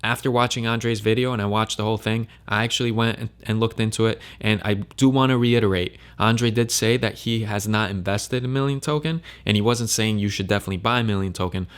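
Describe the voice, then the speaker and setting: A man's voice: lowish voice, brisk, few pauses, clear, resonant tone. Male commentator, opinionated and emphatic, direct talk to camera. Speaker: crypto commentator.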